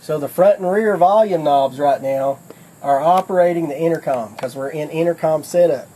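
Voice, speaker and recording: Higher-voiced man demonstrating, noisy recording. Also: moderate pace, warm tone. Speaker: product demonstrator. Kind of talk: casual how-to demonstration.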